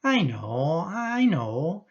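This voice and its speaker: A woman's voice: sits low, very animated, extremely slow, husky, exaggerated voice. A woman playing a harried middle-aged husband and father in a radio play, in a hesitant, easily exasperated manner. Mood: placating, hesitant. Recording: dull, muffled recording.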